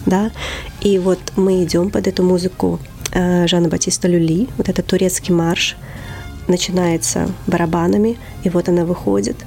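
Teacher lady chatting in an interview, kinda gravelly voice, gets all whispery when she makes a point. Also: lowish voice. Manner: expressive, drops to a whisper for emphasis. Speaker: English teacher running a children's theater. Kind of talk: interview guest, conversational.

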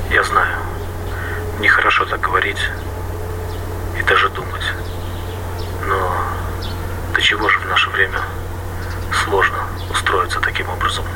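An actor narrating in first person as a weary family man who longs to be alone, in an audio play, speaking in a hushed, confiding way. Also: sits low, monotone, measured pace, pauses often, background noise.

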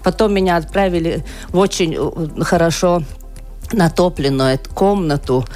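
A woman's voice: lowish voice, normal speed, a little mellow, soft background music. A woman from rural Latvia telling her personal story as a radio interview guest, searching for her words. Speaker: interview guest, asthma and allergy patient.

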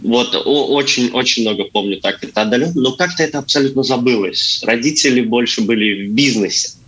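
A young man casually reminiscing as an interview guest, halting and repeating words for emphasis. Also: medium pitch, fairly bright tone, low-quality recording. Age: young adult. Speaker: guest, actor and stage designer.

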